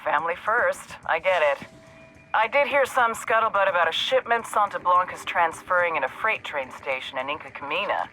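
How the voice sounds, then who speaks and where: A man's voice: high-pitched, expressive, normal speed, bright, forceful tone, slight background noise, full character voice, rough recording. An actor playing a slippery, wisecracking male informant in a game, with flippant, teasing delivery.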